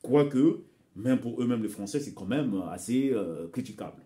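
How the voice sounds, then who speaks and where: Man from Congo, Bembe speaker; warm, dark voice at mid pitch; impassioned vlogger exhorting his audience. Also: expressive, normal speed. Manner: exhorting, addresses listeners as brothers and sisters; repeats words while searching for phrasing. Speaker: vlogger addressing his viewers. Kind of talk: impassioned direct-to-camera commentary.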